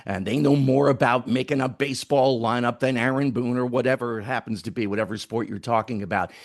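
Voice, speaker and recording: A middle-aged man speaks as the host of a sports interview, in a medium-pitched voice. His delivery is conversational, framing questions and commentary for a guest. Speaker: interviewer, former radio sports director.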